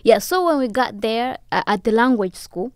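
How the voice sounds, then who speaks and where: A young woman from Rwanda, a podcast guest, telling her story in casual chat with soft laughs. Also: a little high, expressive, normal speed, smooth, no echo, clean studio sound. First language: Kinyarwanda. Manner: warm storytelling with soft laughs and many "you know"s. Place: podcast studio, indoors. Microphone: studio boom mic with headphones.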